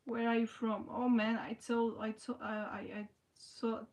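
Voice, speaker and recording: Halting young woman livestreaming. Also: a little high, level tone, darkish tone. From Slovakia. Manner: halting, restarts often, frequent "you know". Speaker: livestream host. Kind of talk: casual livestream chat answering viewers.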